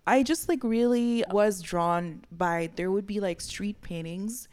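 A woman's voice: mid-range voice, measured pace, a little mellow. A young woman from Haiti, chatting and laughing as a guest on a podcast. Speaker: guest artist. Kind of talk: casual interview chat.

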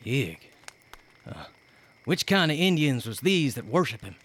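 A man's voice: medium pitch, big pitch swings, unhurried, a bit bright. A fretful pioneer husband full of superstitious fear. His rural American speech is jittery and stammering, in the manner of radio drama. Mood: uneasy. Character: nervous, superstitious frontier settler husband, Walker.

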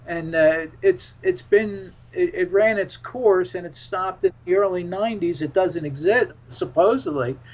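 Male interview guest: notably high-pitched voice, conversational, meandering storyteller who doubles back on phrases. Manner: halting, rambling, repeats phrases. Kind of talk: conversational interview, recounting anecdotes.

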